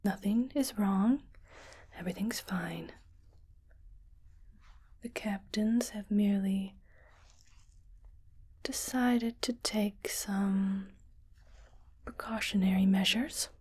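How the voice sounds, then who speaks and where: Casting: woman to play a reassuring female starship medic for ASMR roleplay, whispered and breathy, clinical yet soothing, very unhurried delivery. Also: medium pitch, darkish tone. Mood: calm, clinical. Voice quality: breathy, mostly unvoiced whisper. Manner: slow, measured, clinical and soothing. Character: calm, reassuring female ship's doctor in science fiction.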